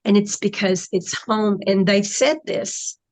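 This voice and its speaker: A woman's voice: mid-range voice, unhurried, a little mellow, low-quality recording. A woman in casual conversation, explaining her spiritual channeling in a reflective way, with trailing phrases.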